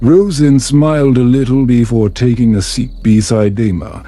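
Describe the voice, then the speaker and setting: A man reading a bedtime story as calm, scripted ASMR narration in a measured, soothing and gentle manner. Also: medium pitch, faint music behind.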